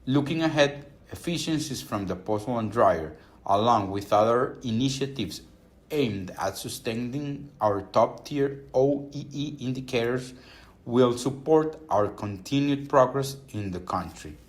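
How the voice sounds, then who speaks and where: A man reading a scripted earnings call presentation as a company executive, in a formal, measured corporate manner. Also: mid-range voice, lively intonation.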